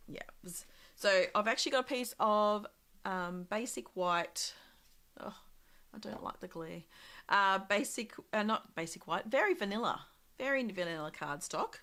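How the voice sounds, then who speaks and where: A woman with a slightly croaky voice from a cold, casually demonstrating a craft project live and thinking aloud as she works. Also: on the low side, fairly bright tone. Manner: chatty, thinking aloud with many ums and small laughs.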